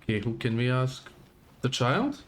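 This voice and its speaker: A man's voice: medium pitch, sing-song, normal speed, slight background noise. A man streaming a game live, giving casual, wry commentary and reactions. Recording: game music behind the voice.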